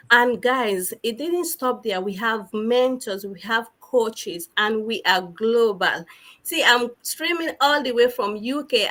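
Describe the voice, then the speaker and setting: A woman's voice: on the high side, bright, forceful tone. A woman from Sierra Leone talking enthusiastically on a livestream, effusive and repeating phrases for emphasis. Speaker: online business mentor, guest speaker.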